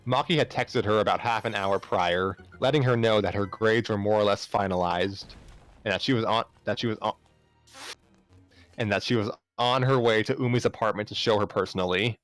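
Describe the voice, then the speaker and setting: A man's voice: on the low side, a little fast, fairly bright tone, faint music behind. A man narrating fan fiction aloud as an amateur reader, sometimes stumbling and rereading phrases. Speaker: story narrator.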